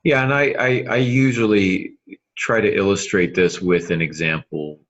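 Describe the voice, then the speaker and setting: A man's voice: low-pitched, moderate pace, fairly bright tone, highs cut off. A middle-aged man co-hosting a podcast, discussing fiction craft conversationally and emphatically, repeating phrases to make his point. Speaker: podcast co-host, novelist.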